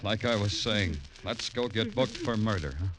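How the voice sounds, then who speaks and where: An actor playing a hardened, wry and cool New York homicide detective in vintage radio noir. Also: male voice, lowish voice, normal speed, natural voice, low-quality recording. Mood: calm, calculating. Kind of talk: 1940s-50s radio noir acting and narration. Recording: vintage radio recording with prominent music.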